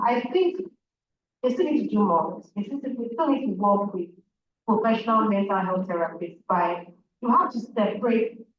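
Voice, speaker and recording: A woman with a Nigerian accent, a native Igbo speaker, giving an earnest, emphatic talk as a speaker at a public event. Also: mid-range voice. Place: large event hall. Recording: echoey hall, distant muffled mic, audience noise.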